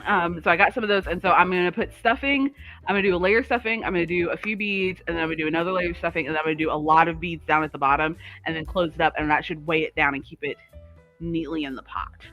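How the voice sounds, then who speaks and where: A woman's voice: fairly low pitch, fairly even intonation, fast, dull audio, soft background music. A woman co-hosting a livestream, chatting casually about crafts in a rambling, easily sidetracked way. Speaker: livestream co-host.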